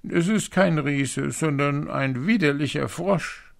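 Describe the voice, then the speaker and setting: A man's voice: on the high side, takes it slowly, gravelly, pitched above the performer's own voice. A man voicing a young princess in a fairy tale, girlish, pleading and emotional. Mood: anxious. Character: young princess, girlish, tearful, eager to promise.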